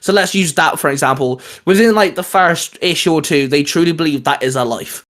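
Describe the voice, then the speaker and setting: A man chatting casually as a host pitching geeky ideas, rambling and restarting his sentences as he goes. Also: brisk, a bit bright. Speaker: host of a comics discussion show.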